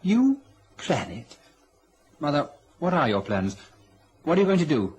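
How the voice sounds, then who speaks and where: A man's voice: a little high, huge pitch swings, a little slow, darkish tone, breathy edge, heavily acted. A young man playing an anxious, obedient son cowed by his mother, hesitant and uneasy, in a radio drama. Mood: worried, questioning. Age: young adult. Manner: hesitant, stammering protests. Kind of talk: radio drama acting and narration.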